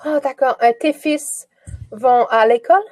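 Quebec lady, slow easy question-and-answer chat, dry close sound, very encouraging. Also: sits high, a little mellow, no echo. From Quebec, Canada. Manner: encouraging, reassuring. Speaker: French conversation partner. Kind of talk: casual question-and-answer conversation practice.

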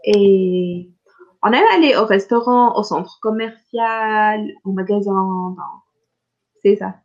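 Slow patient woman, lo-fi. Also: mid-range voice. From Tunisia. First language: Arabic. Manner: patient, clear, repeats questions. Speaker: French conversation partner. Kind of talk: slow language-practice conversation.

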